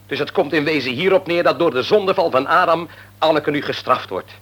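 An actor playing a middle-aged grieving father in a radio play, bitter and argumentative, sinking into quiet despair. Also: a little high, fairly quick. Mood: bitter, arguing.

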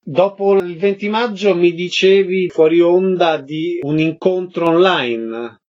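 Higher-pitched man hosting radio, dull audio. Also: slow pace. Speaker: radio host. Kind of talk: live radio interview.

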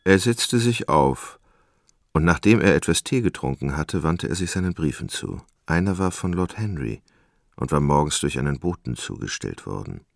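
Very deep, gravelly male narrator. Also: sing-song, normal speed, no room sound, studio quality. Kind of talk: literary radio drama narration.

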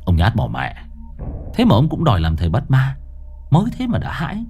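A man voicing a stern, arrogant exorcist master who talks in a gruff, crude, commanding way. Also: low voice, big pitch swings, moderate pace, soft background music, voice pushed down. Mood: scornful.

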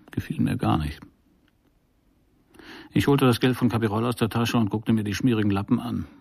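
An actor playing a world-weary, hard-up private detective who narrates a crime radio play in a laconic, brooding way with a gravelly voice. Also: male voice, on the low side, darkish tone, no room sound, exaggerated voice. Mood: wry, weary. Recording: studio radio play with music behind.

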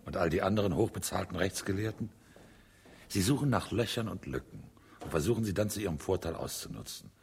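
Low, gravelly, husky schemer. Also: male voice, expressive, a little fast, slight background noise. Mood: sardonic. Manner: sardonic, argumentative. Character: cunning, cynical acquitted defendant, smugly self-justifying. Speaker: actor in a radio drama. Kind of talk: radio drama acting.

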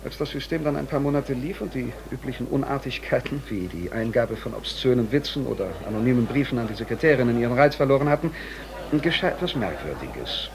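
An actor playing a male company employee telling a story with measured, dry irony in a radio drama monologue. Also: medium pitch, animated, creaky, noisy background. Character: dryly ironic male company employee recounting office absurdities.

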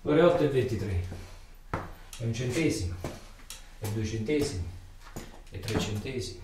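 A man's voice: fairly low pitch, animated, moderate pace, darkish tone, natural voice. A man with a Neapolitan accent playing an embittered, rambling factory worker in a theatrical monologue, colloquial and repetitive. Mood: weary, muttering. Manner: colloquial, repetitive, ranting and muttering. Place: echoey room or hall, far from the mic. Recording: echoey, distant stage recording with faint noise.

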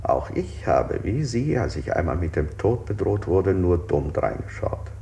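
A man's low voice, full of creak, playing a calm interrogator in a sixties radio play. He speaks formally and measuredly, feigning sympathy with a sinister undertone. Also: a little slow, full character voice. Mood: insinuating sympathy. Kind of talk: 1960s German radio play acting.

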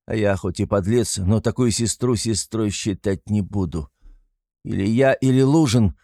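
Male reader as proud feverish young man, irritable, strained, curt, imperious, mellow dark tone, storybook drama. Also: fairly low pitch, normal speed, no room sound, studio quality, close to an everyday voice. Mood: insistent, defiant.

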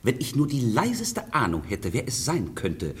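An actor playing a suave courtier with exaggerated, false politeness in a classic radio comedy. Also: male voice, medium pitch, huge pitch swings, normal speed. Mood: affronted. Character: smooth, falsely polite courtier, a gallant gentleman seducer. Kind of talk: German radio play of classic stage comedy.

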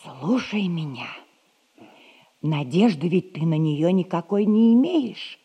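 A woman's voice: lowish voice, lively intonation, slow pace, dark tone, husky, exaggerated voice. An actress playing a folksy, knowing servant woman, a bossy and wheedling go-between in a theatrical 1960s audio comedy. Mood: matter-of-fact, teasing.

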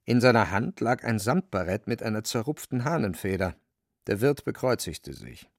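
A man's voice: lowish voice, very animated, moderate pace, dry recording, clean studio sound. A man delivering scripted literary narration for a radio drama.

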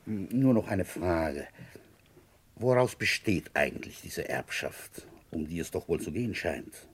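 An actor playing a probing, sceptical village police inspector in a German radio crime drama, questioning deliberately in a husky voice. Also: male voice, sits low, sing-song, heavily acted.

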